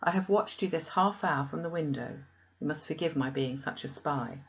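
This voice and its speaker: Woman acting an emphatic, concerned young gentlewoman, muffled. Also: low voice, normal speed. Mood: concerned, probing. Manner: emphatic, questioning. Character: concerned, outspoken, affectionate sister, a young gentlewoman.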